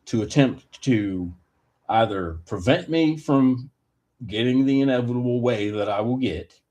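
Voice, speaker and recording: A man giving a rambling personal opinion monologue in a deliberate, halting manner with wordy, formal phrasing. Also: mid-range voice, a little mellow.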